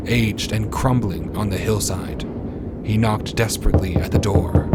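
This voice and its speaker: A man announcing and narrating a radio drama in a solemn, theatrical, scripted style. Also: fairly low pitch. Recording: loud music behind voice. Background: loud music.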